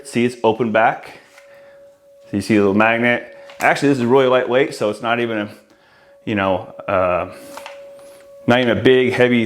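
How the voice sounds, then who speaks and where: Male installer-presenter, forties, mid-range voice, informal and explanatory, lapel mic indoors with slight background noise. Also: mid-range voice. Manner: informal, explanatory, addresses viewers as guys. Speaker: audio installer presenting a product. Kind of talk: casual product walkthrough. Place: small room indoors, home office. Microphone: lavalier clipped on shirt.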